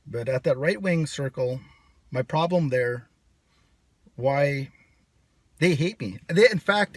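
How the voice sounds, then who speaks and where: A man's voice: mid-range voice, dry recording. A man from the United States talking in a brash, sarcastic, rambling monologue to camera. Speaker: channel host. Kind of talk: casual vlog monologue.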